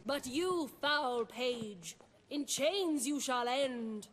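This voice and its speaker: An actress playing an imperious princess in a stage play within a fantasy game, declaiming theatrically. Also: female voice, high-pitched, expressive, very slow, slight background noise, heavily acted. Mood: declamatory.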